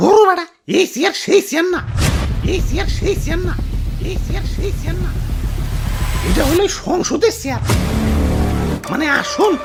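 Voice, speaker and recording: An excitable cartoon schemer with a very high voice and a Bangladeshi accent. The delivery is exclamatory and colloquial, with repeated phrases. Loud music runs behind it. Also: moderate pace, long pauses. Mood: scheming. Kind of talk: Bengali comedy cartoon dubbing.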